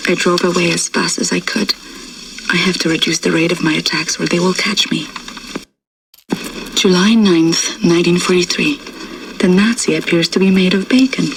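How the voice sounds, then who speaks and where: Bitter haunted wartime woman reading secret diary, game audio log, hushed n breathy, creaky voice, distant noisy recording. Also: lowish voice. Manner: hushed, breathy, deliberate and deadpan. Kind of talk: dramatic scripted video game audio diary.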